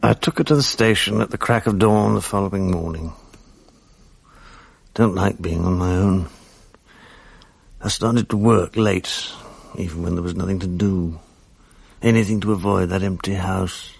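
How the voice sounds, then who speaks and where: A man's voice: low-pitched, fairly even intonation, normal speed, gravelly, slightly rough, dry recording, heavily acted. A man playing a wry, world-weary, middle-aged English husband in a radio drama. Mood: wry, reflective. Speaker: actor, narrator of the drama. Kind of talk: 1980s British radio drama acting.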